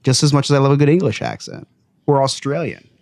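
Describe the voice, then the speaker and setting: Casual podcast co-host, male, mid pitch, very animated delivery, dry close sound. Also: fast talker, vocal fry, no room sound. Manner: animated, joking, trails off and restarts. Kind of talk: casual chat.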